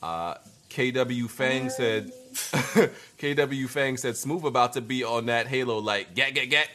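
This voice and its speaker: A man's voice: medium pitch, unhurried. A man hosting a casual livestream, reading viewer comments aloud and adding dry, laid-back remarks. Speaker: host reading viewer super chats.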